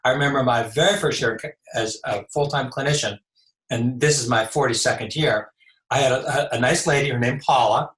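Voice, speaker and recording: A man in his sixties with a slightly raspy voice, a chiropractor giving measured explanatory answers in an interview. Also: mid-range voice, a bit bright. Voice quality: a little raspy. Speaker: chiropractor guest. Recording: echoey room.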